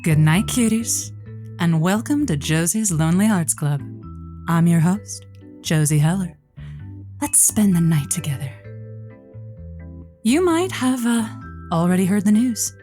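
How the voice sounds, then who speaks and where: A woman's voice: lowish voice, animated, a little slow, long pauses, dark tone, faint music behind. An actress playing a flirty, motherly late-night lonely-hearts radio host in an audio drama, teasing and theatrical. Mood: flirty, reassuring. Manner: teasing, theatrical, folksy endearments.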